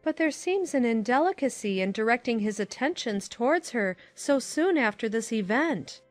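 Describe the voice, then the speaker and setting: Lady doing a concerned sensible aunt from an old novel, slightly high voice, formal, drawn-out endings, bit of music behind. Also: animated, close to an everyday voice. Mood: concerned, admonishing. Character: sensible, concerned middle-aged aunt, gently admonishing. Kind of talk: dramatized classic novel reading.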